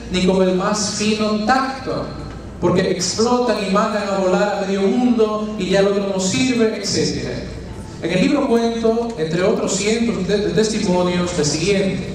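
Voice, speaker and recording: A man's voice: sits high, measured pace, slight background noise. A man from the Dominican Republic with a Dominican Spanish accent, preaching a retreat sermon in a church, teaching through rhetorical questions and folksy asides. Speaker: preacher, friar. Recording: very echoey church, distant mic.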